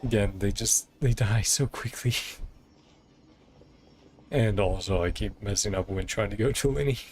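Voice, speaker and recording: A man's voice: on the low side, moderate pace, a little mellow. A man giving casual gameplay commentary, thinking aloud in short, musing fragments. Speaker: gamer commenting on own playthrough. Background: game music.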